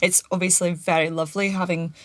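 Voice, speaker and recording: Scottish woman, low voice, dry sound. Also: flat delivery, quick pace, fairly bright tone, no room sound. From Scotland. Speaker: podcast guest. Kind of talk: casual chat.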